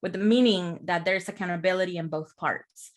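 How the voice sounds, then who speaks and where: A woman's voice: on the low side, brisk. A woman from El Salvador, a therapist, talking as a podcast guest in a reflective, explanatory way, often checking in with "right?". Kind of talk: casual podcast conversation.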